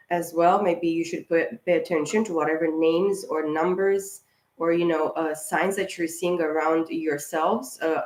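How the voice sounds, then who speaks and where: A woman giving a rambling spiritual tarot reading to camera, a little hoarse, clearing her throat and circling back through her thoughts. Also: fairly low pitch. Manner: rambling, with many fillers and restarts. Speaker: tarot reader. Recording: echoey room.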